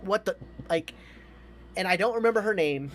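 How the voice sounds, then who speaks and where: A man's voice: high voice, lively intonation, moderate pace, fairly bright tone, a little hiss or hum. An American man in his thirties, a podcast co-host chatting casually and emphatically about films, with plenty of swearing.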